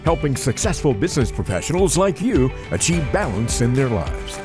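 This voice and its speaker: A man's voice: mid-range voice, big pitch swings, moderate pace. A man reading a scripted show introduction as a promotional announcer. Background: music.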